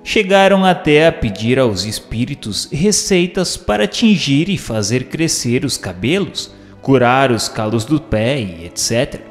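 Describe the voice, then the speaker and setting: Male narrator, mid-pitched, formal and measured, scripted reading with long reverb over music. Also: very animated. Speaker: narrator reading questions and commentary. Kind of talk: scripted audiobook narration of a spiritist text.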